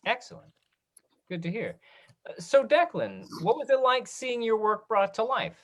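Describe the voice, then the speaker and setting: A host of a casual online talkback, speaking in a halting, conversational way like a drama teacher. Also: medium pitch, very animated.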